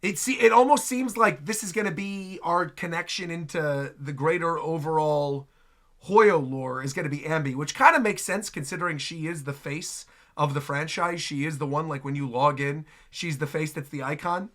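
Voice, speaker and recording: A man's voice: on the high side, normal speed, fairly bright tone. A man hosting a reaction stream, giving casual live commentary and rambling as he thinks aloud.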